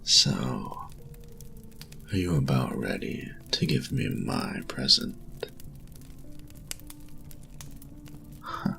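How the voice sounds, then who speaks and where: Man playing a very deep, gravelly, smug bully. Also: big pitch swings, pushed and bright, a little hiss or hum, exaggerated voice. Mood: mocking. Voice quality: gravelly, often dropping to a whisper. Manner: taunting, breathy, drawn-out delivery. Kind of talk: intimate roleplay audio acting.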